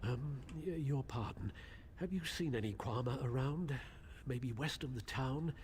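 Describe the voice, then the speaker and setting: A man's voice: medium pitch, unhurried, darkish tone, slight background noise, natural voice. A man voicing a timid, hesitant mine keeper who is hurt and pleading, in a video game. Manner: hesitant, stammering.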